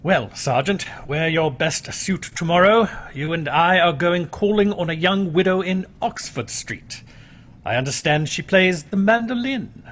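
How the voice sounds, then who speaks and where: An actor playing a shrewd, commanding police inspector in a radio mystery, wry and pointed. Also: male voice, high voice, lively intonation, unhurried, a bit bright, heavily acted. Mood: shrewd suspicion. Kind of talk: radio mystery drama acting.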